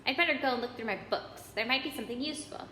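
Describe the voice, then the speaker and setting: Woman as a brisk, bright, clever schoolgirl. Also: fairly high pitch. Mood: brisk, confident. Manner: brisk, self-assured. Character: brisk, clever schoolgirl, bossy but caring. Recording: echoey hall, background noise.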